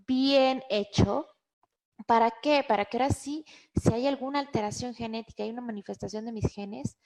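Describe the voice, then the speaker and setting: A woman's voice: fairly high pitch, a little mellow. Chatty woman doctor. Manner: conversational, emphatic, with frequent fillers and restarts. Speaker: physician giving a webinar. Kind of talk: health lecture to an online audience.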